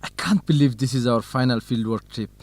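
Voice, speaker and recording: A man playing a warm, helpful young student researcher in an educational radio drama. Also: mid-range voice, brisk, no room sound, natural voice. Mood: helpful, friendly.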